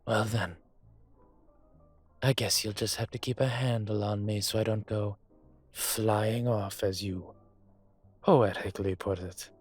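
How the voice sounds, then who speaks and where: A man playing a suave, teasing dragon mafia boss in an intimate roleplay audio, speaking deliberately with a husky voice. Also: lowish voice, steady tone, faint music behind. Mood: amused, teasing. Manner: smooth-talking, deliberate, teasing.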